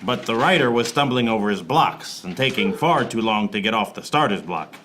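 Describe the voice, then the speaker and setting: An actor playing a wry, beleaguered private eye, narrating a noir radio play in a deadpan manner. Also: male voice, lowish voice, normal speed, a little hiss or hum. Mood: wry, exasperated. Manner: deadpan, fourth-wall-breaking.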